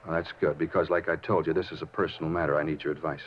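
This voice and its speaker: A man's voice: extremely low pitch, level tone, racing, a little hiss or hum. An actor playing a nervous, evasive young man in a 1950s radio drama, hesitant, guarded and repeating himself. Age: young adult. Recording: dull 1950s radio recording.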